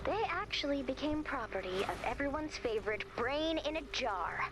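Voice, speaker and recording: A woman's voice: sits high, very animated, normal speed. A woman voicing a theatrical saleswoman in a video game, telling her story dramatically with dry asides. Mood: ominous, persuasive. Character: theatrical woman pitching her clothing business as horror. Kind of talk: video game character voice acting. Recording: music behind the voice.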